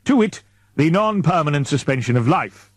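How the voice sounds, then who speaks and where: A man voicing a fatherly, authoritative male expedition leader in an audiobook, booming and pompous, with wordy formal speech. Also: expressive, moderate pace, no room sound, clean studio sound. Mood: pompous, magisterial. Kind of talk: audiobook character dialogue.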